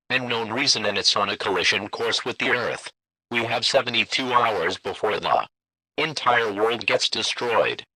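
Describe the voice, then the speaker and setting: Robotic stiff guy reading an emergency alert, lowish voice, dry sound, formal script. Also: bright, forceful tone, slightly rough, no echo, low-quality recording. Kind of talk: scripted emergency broadcast announcement.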